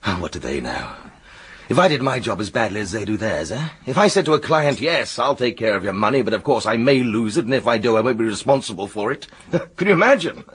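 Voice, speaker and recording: A man's voice: mid-range voice, big pitch swings, fast talker, fairly bright tone, husky, exaggerated voice. An actor playing a genial, pushy male solicitor who is fussily polite and sly in a radio drama. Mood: scornful. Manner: chummy, insistent, fussily polite.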